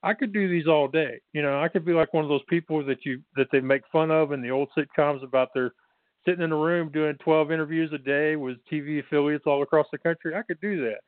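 A man's voice: a little high, steady tone, fast talker, dry recording. Chatty Texan man on a phone line. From Texas. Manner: chatty, frequent restarts and fillers. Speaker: guest author. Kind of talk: casual interview. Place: bedroom at home.

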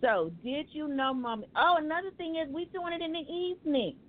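A woman hosts a community radio talk show in a loose, joking way, keeping the segments moving. Her voice sits a little high and comes through thin and phone-like. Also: a little fast. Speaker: radio host. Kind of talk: casual radio chat. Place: radio studio. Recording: tinny phone-like radio audio.

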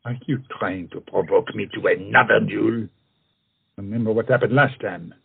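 A man's voice: lowish voice, normal speed, creaky, husky, phone-quality sound, heavily acted. An actor playing a proud, witty, self-conscious French swordsman-poet in a comic audio play, with florid, dramatic phrasing. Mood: proud, teasing. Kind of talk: theatrical comedy audio-play acting.

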